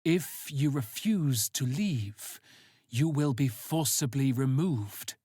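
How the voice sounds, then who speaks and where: A man's voice: medium pitch, animated, takes it slowly, dark tone, husky, no echo, studio quality. A man voicing a stern, pompous cult priest who speaks in a solemn, grandiose manner. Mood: stern, reverent.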